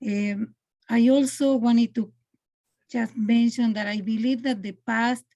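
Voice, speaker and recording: Argentinian lady presenting her art over a video call, slow careful talk, stops to find words. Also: on the high side, dark tone. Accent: Argentinian Spanish. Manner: halting, searching for words. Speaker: presenting artist. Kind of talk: online artist presentation.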